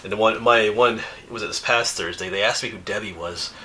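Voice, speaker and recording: Low-voiced sniffly man chatting. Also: a little fast, pushed and bright, slight background noise. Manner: rambling and chatty, laughs often, restarts. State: sniffly with an oncoming cold. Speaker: outdoors YouTuber reading viewer mail. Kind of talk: casual chat. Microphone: camera mic.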